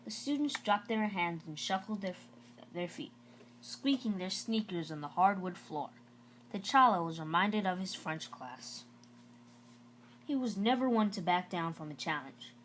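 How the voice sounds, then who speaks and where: A woman's voice: lowish voice, expressive, measured pace, a bit bright, slight background noise. A woman narrating a children's novel aloud in a storybook read-aloud style.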